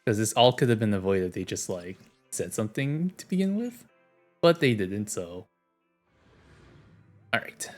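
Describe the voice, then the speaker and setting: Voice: mid-range voice, lively intonation, moderate pace, pauses often, faint music behind. A game streamer chatting casually and reading dialogue aloud on a livestream, sometimes stumbling and self-correcting.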